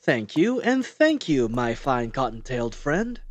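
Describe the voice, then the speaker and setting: Man playing an animated, earnest young spellcaster. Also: mid-range voice, a little slow. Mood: grateful. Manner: expressive, courteous, slightly flustered. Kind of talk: audio roleplay drama acting.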